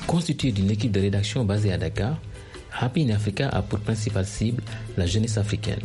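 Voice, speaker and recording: Guy narrating a radio report, deep-ish soft dark voice. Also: quick pace, soft background music.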